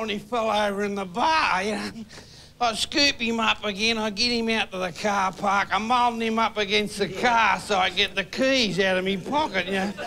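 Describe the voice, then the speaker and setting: Gravelly older man spinning a yarn. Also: high-pitched, bright, forceful tone. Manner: folksy, repeats "you know". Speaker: stand-up comedian. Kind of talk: rambling storytelling stand-up. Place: TV studio stage. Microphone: handheld microphone.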